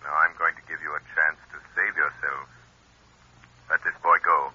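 An actor playing a commanding invisible avenger, grave and deliberate, in an old-time radio drama. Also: male voice, extremely low pitch, flat delivery, bright, forceful tone, breathy edge, dry recording, full character voice. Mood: grave, controlled. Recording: lo-fi vintage radio recording.

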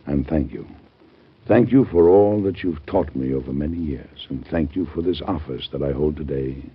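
A man's voice: a bass voice, moderate pace, breathy edge, exaggerated voice. An American man acting an earnest, formal, beleaguered but resolute president in an old-time radio drama. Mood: grateful, respectful. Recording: muffled old radio show recording.

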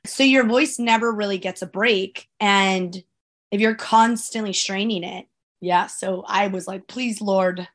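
A woman with a raspy, strained voice, co-hosting a podcast and chatting casually about losing her voice. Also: medium pitch, a little slow. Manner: chatty, trailing asides. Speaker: podcast co-host, sports broadcaster. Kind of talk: casual chat.

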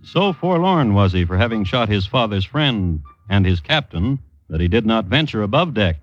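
A man narrating a 1950s radio drama in a wry, formal, measured storytelling manner. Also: lowish voice, big pitch swings. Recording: dull old radio recording.

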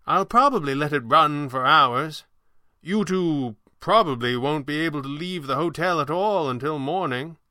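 A man voicing a gentle, amused old stage magician and sorcerer with a courtly, theatrical manner in an audiobook story. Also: on the high side, expressive, moderate pace, no echo, clean studio sound, pitched above the performer's own voice. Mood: sly.

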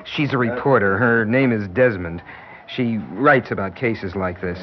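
An actor playing an earnest, patient doctor in a radio drama, gently insistent and deliberate as he speaks. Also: lowish voice, natural voice. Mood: businesslike. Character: earnest, patient male doctor breaking grim news. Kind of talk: old-time radio drama acting. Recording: dull, hissy classic radio recording.

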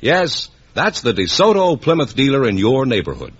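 A man's voice: medium pitch, wildly swooping pitch, moderate pace, slightly rough. An American man reading a scripted 1950s radio advertisement. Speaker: commercial announcer. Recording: muffled old radio recording.

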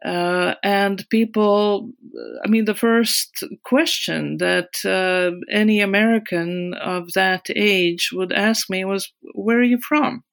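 Latvian-accented woman, 60s, interview guest; unhurried, halting delivery, creaky voice. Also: mid-range voice, steady tone. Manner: hesitant, many fillers and restarts, laughs softly. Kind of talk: conversational recollection.

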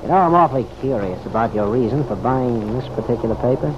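An actor playing a folksy, slyly probing police officer in an old radio drama, with wry, slangy, unhurried questioning. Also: male voice, mid-range voice, animated, natural voice. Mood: sly, skeptical. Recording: dull, noisy old radio recording.

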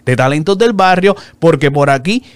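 A man hosting a casual interview show, opening with a lively promo and chatting loosely with his guest. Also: a little high, clean tone, dry recording. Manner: lively, upbeat promo delivery with fast asides.

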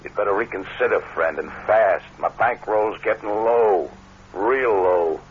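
Low-voiced, menacing blackmailer on old radio. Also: male voice, unhurried, husky. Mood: threatening. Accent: American. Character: cool, menacing small-time blackmailer, slyly insistent. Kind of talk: 1940s radio crime drama acting. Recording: muffled, noisy old radio recording.

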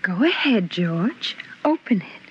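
A woman's voice: mid-range voice, big pitch swings, slow pace. An actress playing an anxious, fearful wife and mother in an old-time radio drama, fretful and pleading. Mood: uneasy, insistent. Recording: muffled, noisy old radio recording.

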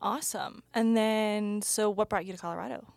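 A woman's voice: mid-range voice, fairly even intonation, normal speed, creaky, darkish tone, no room sound. A woman hosting a startup podcast, reading the intro and interviewing a guest in an upbeat, easy manner. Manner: upbeat, laughs easily. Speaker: podcast host.

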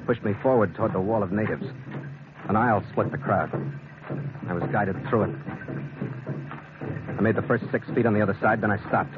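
Male lead narrator, low voice, tinny old radio sound, music behind. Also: noisy background. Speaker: narrator and ship skipper lead. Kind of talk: 1940s radio acting, first-person narration.

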